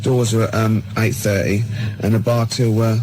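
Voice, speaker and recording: A man's voice: on the low side, level tone, moderate pace, background noise. A man co-hosting a radio show with casual, rambling, mock-earnest comic banter. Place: radio studio. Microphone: studio mic with pop shield.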